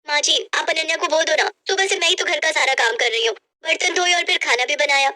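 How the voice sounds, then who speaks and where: Woman as a high-pitched, sly young daughter-in-law. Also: fast talker, sharp tone. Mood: sweet-talking. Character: sly, lazy young married woman, sweet-talking twin sister. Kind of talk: animated Hindi moral story voicing.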